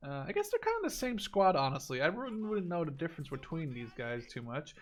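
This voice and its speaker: A man in his twenties giving casual video game commentary in a rambling, conversational way, often correcting himself as he goes. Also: a little high. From Netherlands. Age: 20s. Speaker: gaming video creator.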